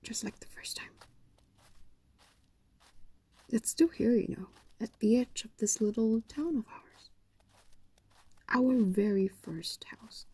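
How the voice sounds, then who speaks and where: A woman's voice: a little high, a little slow, mellow, breathy edge, no echo. A woman voicing an ancient, possessive and doting fox demon in an intimate roleplay audio drama, coaxing and condescending, often slipping into whispers. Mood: wistful, fond.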